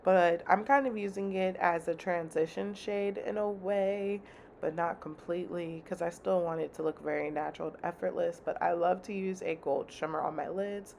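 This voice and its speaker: Young woman, chatty and slangy, congested with a fry-heavy voice, faint background hiss. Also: fairly low pitch, fairly even intonation, normal speed, darkish tone. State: congested, slightly raspy. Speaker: beauty vlogger. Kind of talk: casual makeup tutorial chat.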